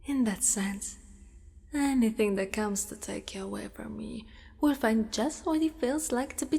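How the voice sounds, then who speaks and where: A woman voicing a possessive, doting female spider monster in an ASMR roleplay, with formal wording and a hushed delivery that often slips into whispers. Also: mid-range voice, creaky. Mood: menacing relish. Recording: long reverberant echo.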